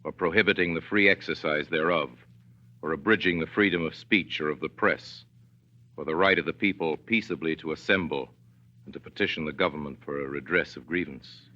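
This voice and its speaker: Casting: male narrator, deep and forceful, folksy measured delivery, vintage 1940s radio drama. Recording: dull 1940s radio broadcast.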